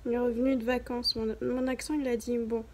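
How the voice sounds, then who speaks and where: Young woman with a southern French accent for a casual home vlog, light and higher voice, rambling and self-mocking. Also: flat delivery, fairly quick. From southern France. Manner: rambling, self-mocking, chatty with many fillers. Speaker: beginner vlogger. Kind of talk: casual chat to camera.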